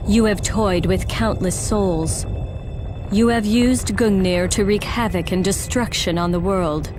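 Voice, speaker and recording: A woman's voice: medium pitch, a little slow, music in the background, lightly acted. A woman playing a stern, vengeful warrior in a video game, passing judgment in a solemn, declamatory way. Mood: stern, condemning. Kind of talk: dramatic video game voice acting.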